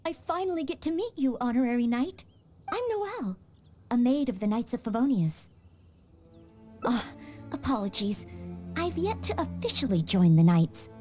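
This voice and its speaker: An actress voicing an earnest, polite young maid training to be a knight in a game cutscene, with small hesitant stammers. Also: female voice, on the high side, sing-song, moderate pace, pauses often, warm tone, soft background music. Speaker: game character.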